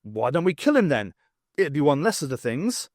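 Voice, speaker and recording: A man's voice: a little high, big pitch swings, moderate pace, a little mellow, no echo, clean studio sound. A man voicing a suspicious, belligerent male dwarf in an audiobook, blunt and challenging.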